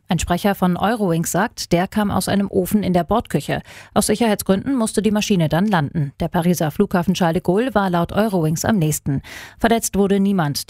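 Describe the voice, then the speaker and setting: A woman's voice: mid-range voice, a little mellow, no echo, clean studio sound. Matter-of-fact female news correspondent. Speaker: radio correspondent. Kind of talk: scripted news report.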